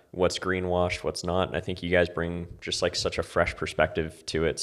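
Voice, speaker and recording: Deep-voiced podcast host. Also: male voice. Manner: enthusiastic, loose phrasing with fillers. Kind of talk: casual interview conversation.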